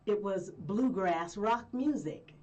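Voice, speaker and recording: A woman from Colorado, a guest singer telling stories in a rambling, lively way with frequent laughs. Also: medium pitch, slow, far from the mic. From Colorado, USA. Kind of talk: casual interview chat.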